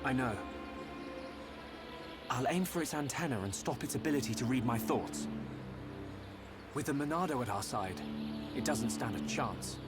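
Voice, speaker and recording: A man's voice: mid-range voice, lively intonation, moderate pace, long pauses, lightly acted. A man playing an earnest, curious and determined young sword-wielding hero in a video game. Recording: loud music behind the voice.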